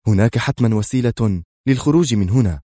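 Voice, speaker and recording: A man's voice: mid-range voice, animated, brisk, mellow, no echo, studio quality, lightly acted. A man voicing a resolute male secret agent in an audiobook, decisive and controlled. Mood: tense. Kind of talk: audiobook character voice.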